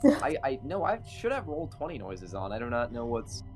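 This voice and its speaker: A man's voice: mid-range voice, wildly swooping pitch, normal speed, a little mellow, soft background music. A man chatting casually as a player in a tabletop roleplaying game. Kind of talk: casual chat.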